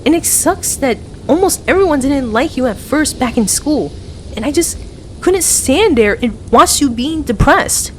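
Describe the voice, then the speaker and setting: A woman's voice: sits high, lively intonation, a little slow, slight background noise. A woman playing a playful, affectionate young best friend with a hesitant, earnest way of talking, in an ASMR roleplay audio. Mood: earnest confession.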